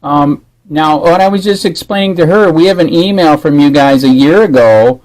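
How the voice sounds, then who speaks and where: Higher-pitched man, halting and insistent. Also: a little mellow, poor audio. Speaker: caller disputing a permit rule. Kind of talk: phone conversation.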